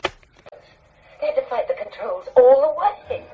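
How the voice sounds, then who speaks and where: A thin, phone-like tape playback of a candid stewardess in a radio drama. Her voice is fairly high as she gives testimony, with music faintly behind. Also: takes it slowly. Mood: tense recollection. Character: candid airline stewardess giving taped testimony. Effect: tape playback filter.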